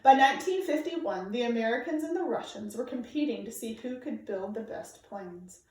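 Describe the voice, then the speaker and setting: A woman reading aloud to children like a teacher, with clear, deliberate, emphatic phrasing. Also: a little high, animated, warm tone. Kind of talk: read-aloud storytelling for children, informal introduction. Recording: very echoey room, distant microphone.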